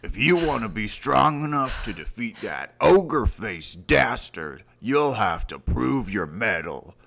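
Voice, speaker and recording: Deep, gravelly old fantasy warrior. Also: male voice, big pitch swings, measured pace, a bit bright, heavily acted. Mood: boisterous goading. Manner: boisterous, old-fashioned grandiose phrasing, calls others laddie. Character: gruff, hearty older warrior rebel, man, boisterous yet secretly grieving. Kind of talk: scripted fantasy audio drama acting.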